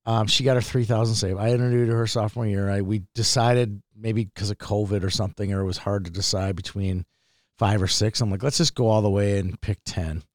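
A man's voice: on the low side, steady tone, brisk, no room sound, studio quality. A man with a Minnesotan accent co-hosting a sports podcast in loose, teasing, casual chat. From Minnesota, United States.

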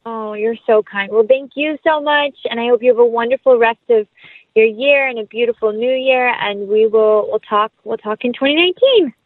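A woman's voice: fairly high pitch, normal speed, no room sound. Effusive American woman, slightly dull dry recording. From North Carolina, United States. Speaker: guest actress. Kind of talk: casual interview conversation.

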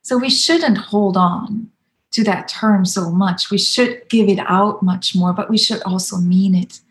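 A woman's voice: mid-range voice, a little slow. A woman in her thirties from Switzerland with a Swiss German accent, explaining ideas in conversation and sometimes searching for words.

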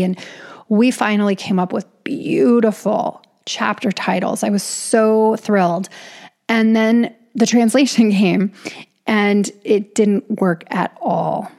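A woman's voice: medium pitch, darkish tone. A woman hosting a podcast solo, talking casually and conversationally as if thinking aloud. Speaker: podcast host and author.

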